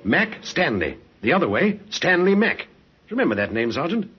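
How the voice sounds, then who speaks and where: Animated, commanding police inspector. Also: male voice, on the high side, normal speed. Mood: musing, decisive. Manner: musing, courteous, commanding. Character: brisk, methodical male Scotland Yard police inspector. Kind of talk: old-time radio crime drama acting. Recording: dull, noisy old radio recording.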